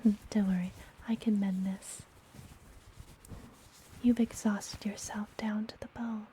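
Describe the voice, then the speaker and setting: Woman as a whispering, unhurried forest witch. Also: mid-range voice, fairly even intonation, slight background noise, lightly acted, rough recording. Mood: soothing, teasing. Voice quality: soft, breathy whisper. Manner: gentle, slow, playfully teasing. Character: friendly, mysterious, teasing forest witch and apothecary healer. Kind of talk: ASMR fantasy roleplay.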